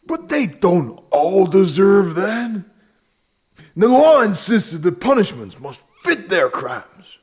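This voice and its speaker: Man playing a husky, slow-drawling Old West sheriff. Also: high voice, sing-song, exaggerated voice. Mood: pleading, insistent. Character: weary, stern but compassionate Old West sheriff. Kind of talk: Western audio drama acting.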